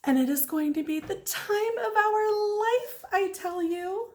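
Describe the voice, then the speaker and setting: Woman voicing an excitable, high-pitched little first-grade girl. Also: a little slow, slight background noise. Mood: excited, proud. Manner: exclaiming, emphatic, childlike phrasing. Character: excitable, pushy first-grade girl narrating her journal. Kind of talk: children's storybook read-aloud.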